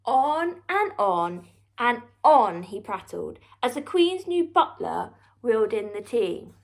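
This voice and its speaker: A woman storyteller reading a children's book aloud with expressive, theatrical narration and deliberate emphasis. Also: fairly high pitch, creaky.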